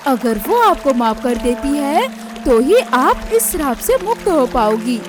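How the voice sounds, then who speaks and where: A performer acts out an indignant young husband bird in a cartoon. The voice is high for a male figure and stern, reproaching others at a measured pace over music. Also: very animated.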